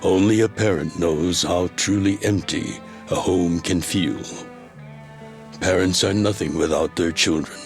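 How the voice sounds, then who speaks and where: A man playing a fatherly older yakuza boss, reading a letter in a measured, tender, wistful way as a game drama voice-over. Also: low voice, music in the background.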